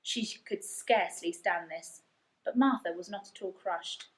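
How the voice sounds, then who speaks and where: A woman's voice: on the low side, a little slow. A woman reading a storybook aloud to children, with simple explanatory asides. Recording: echoey room.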